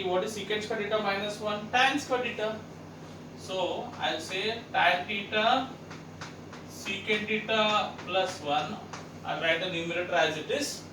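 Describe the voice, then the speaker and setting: A man's voice: high-pitched, far from the mic. A man teaching a math lesson, walking students through each step with repeated questions. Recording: echoey room, voice set back from the mic, background noise.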